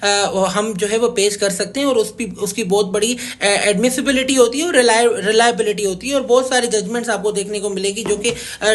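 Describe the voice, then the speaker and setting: A legal educator with a Pakistani accent gives an informal explanatory lesson, rephrasing often with hesitations and mixing in English terms. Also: mid-range voice. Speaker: legal educator, video host.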